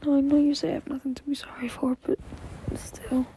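Young adult woman, high, creaky, whispery voice; tearful, stammering, apologetic intimate delivery to a partner. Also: little pitch movement, warm tone, a little hiss or hum. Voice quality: breathy, whispery voice. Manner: halting, apologetic, stammering repetitions. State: anxious, tearful, close to crying. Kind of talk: intimate whispered monologue, audio roleplay.

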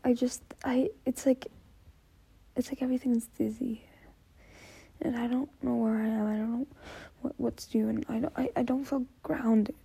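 A young woman speaking in an intimate, whispery monologue, halting and apologetic, anxious and close to tears. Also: fairly high pitch, steady tone, dark tone, no echo. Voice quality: breathy, whispery voice. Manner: halting, apologetic, stammering repetitions. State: anxious, tearful, close to crying.

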